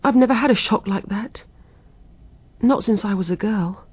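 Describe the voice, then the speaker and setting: A woman's voice: medium pitch, moderate pace, lo-fi sound, natural voice. A woman acting a controlled, terse, cold woman. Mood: controlled shock.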